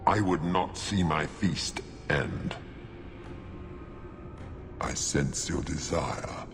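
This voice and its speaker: Casting: extremely deep, slow ancient rock demon, imperious and menacing, formal diction, fantasy game, music underneath. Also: male voice, exaggerated voice. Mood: bargaining. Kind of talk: video game dialogue.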